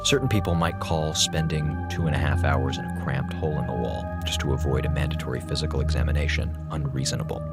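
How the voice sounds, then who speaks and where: An actor playing a weary, sarcastic communications officer on a space station in a radio drama, wry and melodramatic. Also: male voice, very deep voice, quick pace, hardly any pauses, over loud music. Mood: gloomy, hushed. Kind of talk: radio drama acting, log narration.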